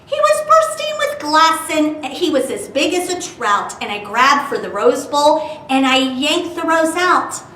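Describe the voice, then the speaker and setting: A woman leads a children's storytime in a fairly high voice. She speaks at an unhurried pace and addresses young listeners directly. The recording is roomy, picked up at some distance from the microphone. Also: big pitch swings, pushed and bright. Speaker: library storytime host. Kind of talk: children's storytime talk and expressive read-aloud. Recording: roomy, distant mic with faint hiss.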